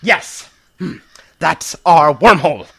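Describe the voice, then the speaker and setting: An actor playing a commanding, excitable professor hero in an audio play, brisk and urgent. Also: male voice, a little high, gravelly, breathy edge, full character voice. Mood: excited.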